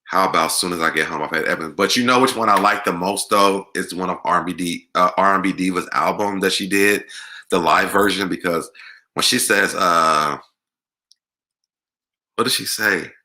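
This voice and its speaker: An American man with a deep voice hosting a casual music Q&A, talking conversationally and repeating words for emphasis. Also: moderate pace, pushed and bright. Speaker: online show host. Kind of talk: casual live Q&A chat about music.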